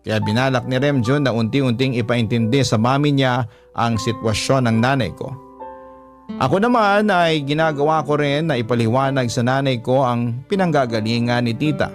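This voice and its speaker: A man narrates as a radio drama storyteller, reading in a dramatic first-person letter style. His pitch is ordinary for a man, and light music plays under him. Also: normal speed.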